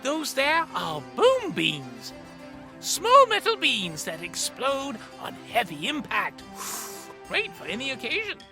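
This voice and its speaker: Bright, high-pitched gnome shopkeeper. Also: huge pitch swings, takes it slowly, faint music behind, heavily acted. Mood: gleeful, sly. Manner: theatrical salesman patter with cackling. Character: cheery gnome shopkeeper hawking magical trinkets.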